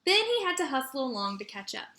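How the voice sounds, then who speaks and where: A woman teacher reading a children's story aloud in an expressive, animated way with dramatic emphasis. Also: high voice, fast, slight background noise. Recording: roomy echo.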